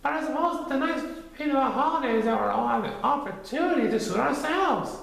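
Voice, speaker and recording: Man putting on a high, eager young boy. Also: fairly quick, vocal fry, exaggerated voice. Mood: sympathetic. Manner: excited, gentle. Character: eager, kindly young boy explaining things. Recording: strongly echoey room.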